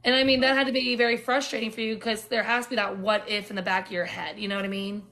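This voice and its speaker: A woman's voice: mid-range voice, flat delivery, fast, bright, forceful tone. A woman hosting a casual interview, chatty and sympathetic toward her guest. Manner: sympathetic, chatty, laughs along. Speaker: podcast host.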